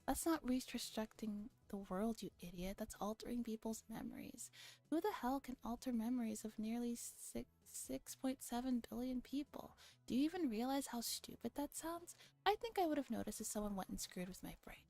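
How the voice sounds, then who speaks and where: Woman playing sarcastic online skeptic, dismissive, visual novel character, high mellow voice, soft music behind. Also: expressive, normal speed. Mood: scornful disbelief. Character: scornful, skeptical internet forum arguer.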